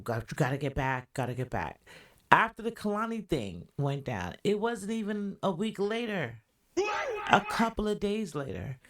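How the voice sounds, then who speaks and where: A woman with a deep, low voice hosts a podcast and chats through a reaction, qualifying her opinions as she goes. her delivery is relaxed and conversational, with plenty of asides. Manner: chatty, hedging with "allegedly" and "in my opinion". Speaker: podcast host. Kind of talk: casual reaction commentary.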